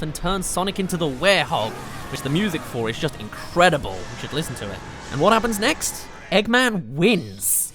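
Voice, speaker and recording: A man hosts a scripted video game countdown. His voice sits a bit high and swings widely in pitch as he emphasises his points with open enthusiasm. Music plays behind the narration. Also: a bit bright. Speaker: video game reviewer and channel host.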